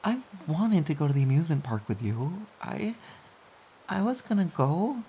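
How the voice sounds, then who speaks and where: A woman playing a playful, affectionate, teasing partner in an intimate audio roleplay of soft pillow talk. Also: deep voice, sing-song, normal speed. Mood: mock-pouty. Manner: teasing, giggly, mock-pouty. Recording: faint background hiss.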